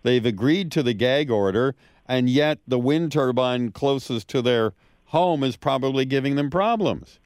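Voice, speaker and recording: A man's voice: medium pitch, unhurried, darkish tone, no echo, clean studio sound. A man hosting a radio interview, asking probing questions and agreeing often with his guest. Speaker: radio host.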